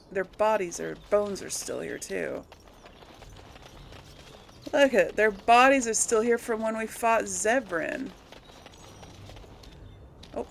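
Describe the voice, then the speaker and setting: A woman's voice: medium pitch, gravelly. Woman rambling through game commentary, background noise. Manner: rambling, thinking aloud. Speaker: let's play host. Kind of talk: casual gameplay commentary.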